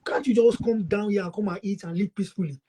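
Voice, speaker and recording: A man's voice: high voice, fast, mellow, breathy edge. A man hosting a panel talk show, asking probing questions and often restarting them. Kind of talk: moderating a panel discussion.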